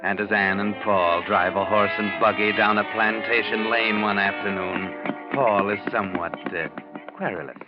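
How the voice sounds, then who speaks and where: Man as deep, ominous radio mystery narrator. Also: steady tone, lightly acted. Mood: foreboding, wry. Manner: deliberate, sardonic, foreboding. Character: ominous, wry male storyteller of radio mystery. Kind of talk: 1940s radio scripted narration. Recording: muffled old radio recording, loud music behind.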